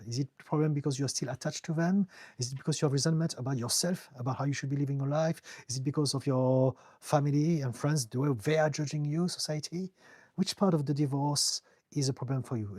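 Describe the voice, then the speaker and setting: A middle-aged man from France with a French accent, calmly reading viewer questions and answering them as a therapist on a live stream. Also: mid-range voice, husky, no room sound. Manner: calm, reassuring, reads out viewer comments with light laughs. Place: home in the UK.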